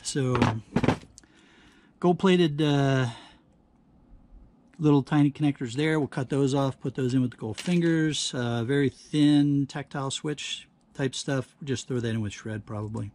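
A man giving casual hands-on demonstration commentary, halting and thinking aloud as he works at a workbench. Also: mid-range voice, darkish tone. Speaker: host of a scrapping how-to channel. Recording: clear background noise, handling clicks.

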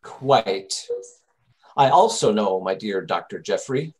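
A man playing a shrewd, self-assured detective in old-time radio drama, speaking formally, deliberately and precisely. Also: lowish voice, lively intonation, voice pushed down. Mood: coolly confident. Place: home room, over video call.

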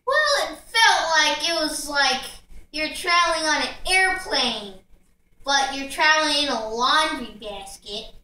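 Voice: high voice, lively intonation, measured pace, sharp tone, exaggerated voice. A performer voicing a playful, silly sock puppet celebrity in simple, improvised interview answers. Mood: cheerful. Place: living room, puppets behind a couch. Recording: echoey room, far from the mic.

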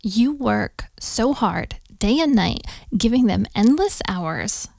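Woman acting as needy gf in asmr roleplay, super slow n breathy, bit of creak, teasing and sweet. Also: fairly high pitch, animated, a little mellow, no echo, studio quality, exaggerated voice. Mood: tender, earnest. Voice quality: breathy, often dropping to a whisper. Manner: slow, teasing, affectionate, giggly. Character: needy, playful, clingy, affectionate young girlfriend. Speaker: audio roleplay performer. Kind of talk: intimate ASMR girlfriend roleplay. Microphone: close microphone, dry sound.

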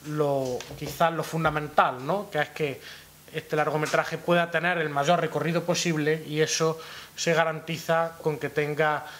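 A man, giving formal press conference remarks as an official, haltingly searching for words. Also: fairly high pitch, a bit bright. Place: press room at a town hall, seated at a table. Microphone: gooseneck table microphone.

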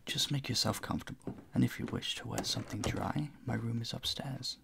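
A man playing a courteous, charming vampire in an intimate audio roleplay, soft-spoken, breathy and soothing, often close to a whisper. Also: medium pitch, fairly quick. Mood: doting, hospitable. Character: gentle, courteous male vampire, charming and doting. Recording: faint ambient noise behind the voice.

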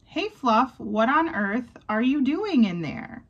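A woman's voice: fairly high pitch, big pitch swings, takes it slowly, muffled recording, exaggerated voice. A woman voicing a big, kindly, confident male animal in a storybook, in a reassuring, easygoing way. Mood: puzzled.

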